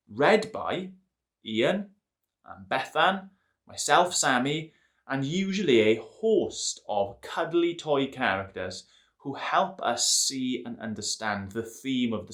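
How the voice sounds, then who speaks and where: Man, children's story host, playful, lively pitch, slow delivery.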